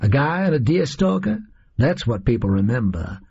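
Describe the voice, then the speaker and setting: Brash schemer, performed by a male reader, breezy storybook villain, gravelly, slightly muffled recording. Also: medium pitch, big pitch swings, normal speed, warm tone, full character voice. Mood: gleeful. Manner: breezy, slangy, chatty. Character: brash American man from fiction, gleeful cocky schemer.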